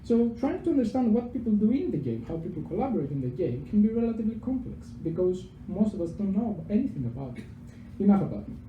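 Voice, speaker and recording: A man's voice: sits high, sing-song, fast talker, few pauses, narrow, tinny audio, slight background noise. Greek-accented young man in an echoey venue. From Greece. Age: young adult. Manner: chatty and self-deprecating, with frequent fillers and repeated words. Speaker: PhD researcher giving a public talk. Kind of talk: informal public science talk. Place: pub venue with a live audience. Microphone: handheld microphone. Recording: echoey venue with faint background ambience.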